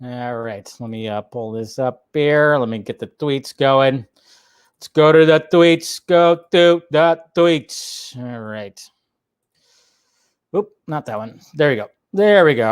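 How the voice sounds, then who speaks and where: Male stream host, voice a bit above average in pitch, loose conversational delivery, repeats himself, dry sound. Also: clean tone, no room sound. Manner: repeats phrases, talking to viewers. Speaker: host of a live pop-culture talk stream. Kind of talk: casual rambling livestream chat.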